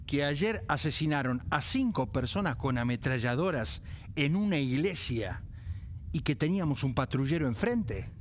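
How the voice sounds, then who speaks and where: An exasperated Argentine police chief played by a man in a radio drama. His voice runs a bit high and swings widely with sarcasm. There is clear noise in the room around him. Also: lively intonation, normal speed, close to an everyday voice. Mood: incredulous. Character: irritable, sardonic male police chief. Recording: noisy rehearsal room.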